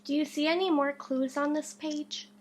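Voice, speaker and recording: A woman reading a storybook aloud as a teacher does, deliberately and interactively. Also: high voice, fairly even intonation. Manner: deliberate, with questions to a listener.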